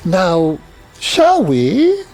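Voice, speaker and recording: A very slow, formal manservant. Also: male voice, high voice, huge pitch swings, darkish tone, faint music behind, heavily acted, rough recording. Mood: dutiful, dry. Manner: formal, measured. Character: deferential, dry male servant to a duke. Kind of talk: audio drama acting.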